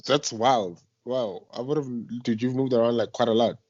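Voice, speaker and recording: A man's voice: medium pitch, normal speed, darkish tone, no room sound. A young South African man hosting a casual radio interview, speaking in an informal, slangy way. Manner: informal, slangy, effusive reactions. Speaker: radio show host. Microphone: internet voice call. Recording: muffled lo-fi internet call.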